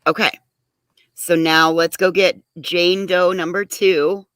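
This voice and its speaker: A co-host handles the clips on a live panel, announcing each step briskly and practically. The voice has a bright, tense edge, and the sound is dry and close. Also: mid-range voice, level tone, smooth, no room sound. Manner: brisk and practical, announces what comes next. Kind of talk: casual live-stream chat.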